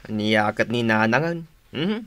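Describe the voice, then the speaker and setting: A man's voice: lowish voice, lively intonation, slow, fairly bright tone. A man acting in a radio drama as an easygoing younger village fruit seller with an Ilocano accent. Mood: friendly, bargaining.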